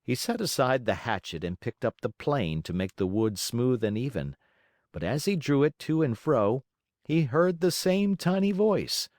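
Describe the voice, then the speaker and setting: A man's voice: mid-range voice, very animated, a little slow, darkish tone, no room sound, clean studio sound. A man narrating a children's story as an audiobook reader, in a theatrical, emphatic way.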